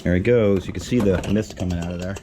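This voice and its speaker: A man with a fairly low voice narrates a hands-on repair in a casual way. He talks in short, muttered steps as he works. Tools clank and workshop noise is clearly heard around him. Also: fast talker. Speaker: DIY mechanic demonstrating a repair test. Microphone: handheld camera moved around while working.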